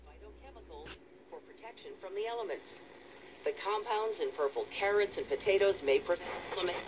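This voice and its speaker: A scripted radio narrator speaks at a middle pitch. The voice comes dull and lo-fi out of an old radio speaker, with faint noise around it. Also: fairly quick. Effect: played through an old radio speaker.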